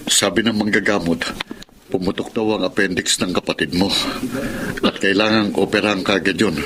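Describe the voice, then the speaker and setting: Worried humble dad, male actor, Filipino radio drama: lowish voice, deferential, noisy recording. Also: fairly even intonation, moderate pace, close to an everyday voice. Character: humble, worried working-class father and driver.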